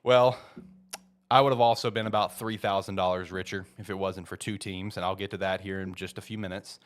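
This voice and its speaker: Low-voiced man, casual football talk, slightly congested. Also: fairly even intonation, fairly quick. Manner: informal, repeats himself for emphasis, frequent "you know" and "man". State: slightly congested from a head cold. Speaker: host of a football show. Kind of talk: casual sports talk, opinionated commentary.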